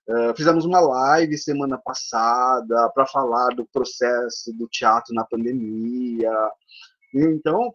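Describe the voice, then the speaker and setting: Man, Brazilian accent, theatre teacher, chatty slide talk, medium pitch, dull video-call audio. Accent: Brazilian Portuguese. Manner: chatty, peppered with tag questions. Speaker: theatre teacher for older adults. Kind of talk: conference presentation over slides.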